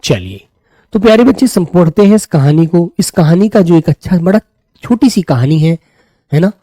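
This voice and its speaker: A man's voice: high-pitched, big pitch swings, normal speed, warm tone, dry recording. A man teaches an online exam class. His throat is a little sore. He checks in fondly with his students and repeats key phrases for note-taking. The sound is close and dry. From Uttar Pradesh, India. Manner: affectionate check-ins with students as "beta". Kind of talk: live online lesson, addressing students.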